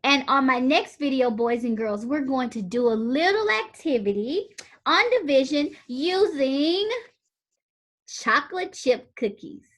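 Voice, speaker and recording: Female elementary teacher, high-pitched and sing-song, slow read-aloud pacing for young pupils. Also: expressive, a bit bright.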